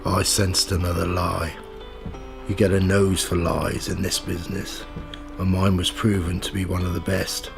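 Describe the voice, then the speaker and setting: Man playing a gravelly, cynical reporter. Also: sits low, flat delivery, moderate pace, over music. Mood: dry, narrating. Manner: slangy, sardonic, streetwise. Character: nosy, cynical, pushy male reporter pressing for a story. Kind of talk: audio drama dialogue and first-person narration.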